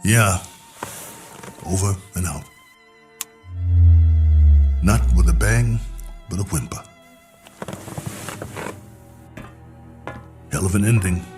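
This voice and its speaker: Music plays clearly behind a low male voice as a stranded space colonel. He is lonely and reflective, musing with wry, world-weary resignation in the manner of a radio drama monologue. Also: takes it slowly, long pauses. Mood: resigned.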